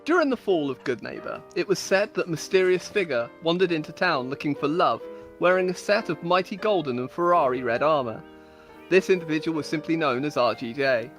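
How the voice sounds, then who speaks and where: A woman's voice: sits low, lively intonation, fairly quick, faint music behind. A woman storyteller giving a dramatic, mock-epic reading of comic fantasy stories, with amused asides. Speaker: storyteller on a game server.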